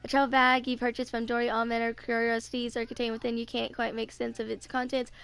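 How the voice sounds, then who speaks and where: A woman's voice: a little high, flat delivery, fast, gravelly, fairly bright tone, smooth. A woman in her twenties casually commentating on a video game and reading on-screen text aloud. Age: 20s. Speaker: streamer playing a video game. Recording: game music behind the voice. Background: game music.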